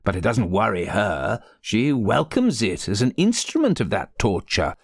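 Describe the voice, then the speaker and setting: A man's voice: on the low side, sing-song, a little slow, no room sound, heavily acted. A man voicing a bossy, indignant rector's wife in an audiobook, emphatic and insistent. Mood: indignant, scornful. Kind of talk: audiobook character voice.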